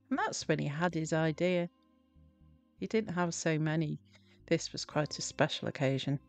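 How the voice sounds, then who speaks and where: Slow-paced woman reading a children's story. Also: low voice, a little mellow. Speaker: storybook reader. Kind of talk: animated children's storybook narration.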